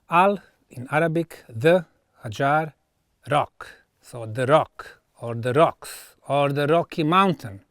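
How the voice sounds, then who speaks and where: A man who speaks English as a second language gives an educational talk, phrasing deliberately with emphatic pauses. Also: fairly high pitch, fairly bright tone, dry recording, studio quality. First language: not English. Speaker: researcher and presenter. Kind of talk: educational talk on archaeology, delivered on site.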